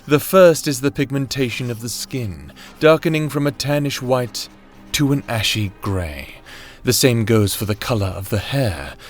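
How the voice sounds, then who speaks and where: A coldly curious scholar, performed by a man in a fantasy audio drama. He speaks formally and with measured detachment, as if recording examination notes. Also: mid-range voice, lively intonation, faint music behind, natural voice. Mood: clinical. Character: clinical, fascinated male researcher dictating examination notes.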